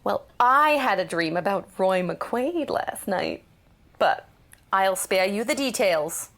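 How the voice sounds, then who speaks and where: A woman's voice: mid-range voice, animated, takes it slowly, a bit bright. An actress playing a breezy, chatty female housemate in an audio drama, casual and teasing. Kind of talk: naturalistic audio drama acting.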